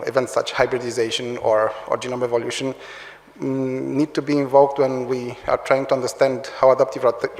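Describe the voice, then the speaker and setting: A man with a Romanian accent giving a conference talk, hesitant with many fillers and restarts. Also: medium pitch. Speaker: conference speaker, researcher. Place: large lecture hall. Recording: roomy long hall reverb.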